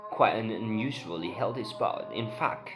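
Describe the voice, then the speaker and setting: A man's voice: fairly low pitch, normal speed. A young man giving an amateur dramatic reading of a play. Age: young adult. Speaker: student reader of all parts. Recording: voice over prominent background music.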